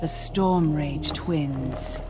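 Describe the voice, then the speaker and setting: A lowish, serene elven priestess from a fantasy game. She speaks very slowly, with solemn reverence, and music plays clearly behind her. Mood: reverent. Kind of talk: dramatic game cinematic acting.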